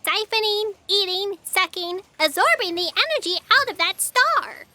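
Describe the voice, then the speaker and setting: A woman playing a frantic, high-strung female writer in a sci-fi comedy audio drama, whiny and agitated. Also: lively intonation, normal speed, bright, forceful tone, exaggerated voice. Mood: indignant.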